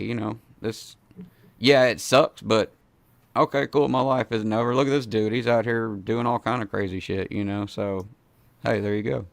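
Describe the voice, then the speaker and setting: A man in his forties hosts a video-call show from a small home studio, his voice hoarse from a cold. He sounds gravelly and smooth at once, sitting close to a dry mic. He talks in a relaxed, slangy, sincere way. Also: lowish voice, dry recording. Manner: laid-back, slangy, earnest. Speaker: host. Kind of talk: casual video-call interview. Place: home studio with foam panels. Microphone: studio mic in frame.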